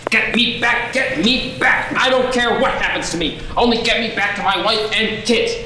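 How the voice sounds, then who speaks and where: An amateur actor playing an excitable everyman husband and father in a radio play, with a pleading, stammering delivery. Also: high voice, normal speed. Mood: desperate pleading. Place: echoey room, audio-only play. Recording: roomy echo, faint noise, clicks.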